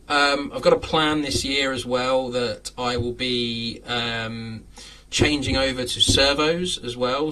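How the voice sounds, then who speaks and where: A man in his late thirties talks casually to a live audience from his model railway room. He rambles, fills pauses with "um," and chuckles often. His pitch sits in the middle, and he is heard from a little way off the mic. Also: pushed and bright, far from the mic, rough recording. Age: 30s. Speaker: host of a live chat. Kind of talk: casual live-stream chat.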